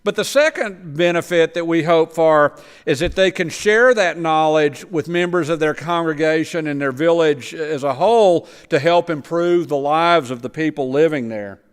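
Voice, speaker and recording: A man with a high, smooth and resonant voice explains things plainly and sometimes stumbles over words. His talk rings with a long reverb through a church sanctuary. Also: normal speed, few pauses. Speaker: missionary agriculture lecturer. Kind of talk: church presentation with slides.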